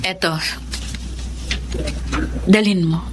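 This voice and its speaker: A woman's voice: fairly low pitch, steady tone, drawn-out words, noisy background. A young woman acting a remorseful but hopeful older sister in a radio drama, with a Filipino accent and a heartfelt, confessional delivery. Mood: generous, insistent. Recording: music bed under studio dialogue. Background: background music.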